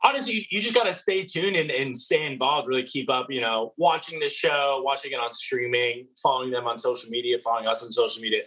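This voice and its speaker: A man's voice: medium pitch, fast talker, hardly any pauses, pushed and bright, slightly rough, rough recording. A man in his twenties, a reality show contestant, answering interview questions over a video call, earnest with many restarts and fillers. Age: 20s. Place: apartment living room.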